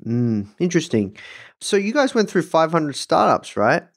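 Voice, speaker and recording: A man hosting a casual interview, asking informal questions in a halting, searching way. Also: fairly high pitch, very animated. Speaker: podcast interviewer, host.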